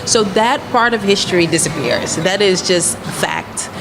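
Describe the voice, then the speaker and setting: A young woman from the Netherlands giving measured, explanatory answers as a guest in a casual discussion. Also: fairly low pitch, animated. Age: young adult. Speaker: guest, singer and presenter. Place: glass-fronted cafe, indoors at a table. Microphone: shared handheld interview microphone. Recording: clear background noise of busy venue.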